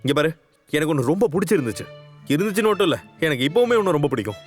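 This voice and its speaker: An actor playing a nervous, defensively proud young man in love, rambling and halting, in a conversational short-film style. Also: on the high side, very animated, faint music behind. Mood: hurt, bitter. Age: young adult. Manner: halting, rambling, self-justifying.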